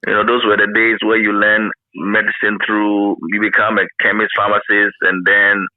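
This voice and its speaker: A man's voice: on the low side, completely flat delivery, normal speed, no room sound. A man in his fifties with a Ghanaian accent, reminiscing in a casual interview and often repeating phrases for emphasis. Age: 50s. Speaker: interview guest, former radio pioneer. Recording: narrow phone-like line.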